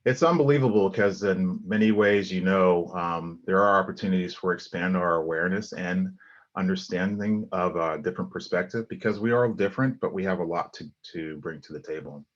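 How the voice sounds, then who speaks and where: A man's voice: low voice, a little fast, muffled recording. A middle-aged man from Liberia, giving an earnest design lecture over slides with many ums and restarts. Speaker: guest lecturer, landscape architect.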